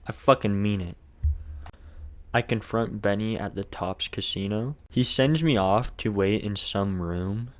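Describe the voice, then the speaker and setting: A man with a fairly low voice and a lisp narrates his gameplay. He keeps it casual and scripted, with crude, profane jokes mixed into the recap. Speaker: gaming video narrator.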